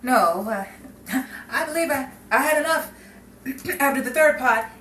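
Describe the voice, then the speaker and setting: A woman playing a wry, hesitant single friend in a 1950s-style radio comedy, halting and deadpan. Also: high voice, heavily acted. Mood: awkward, wry. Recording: very noisy, echoey, distant audience recording.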